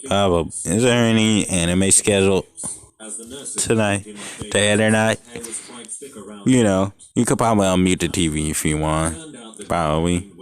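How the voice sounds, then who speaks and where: A man's voice: sits low, measured pace, long pauses. A young man casually reading a fan story aloud, stumbling over lines and stopping to joke and swear. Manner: reads unevenly, breaks off to joke and swear. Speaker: fanfic reader. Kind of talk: casual fanfic reading with commentary.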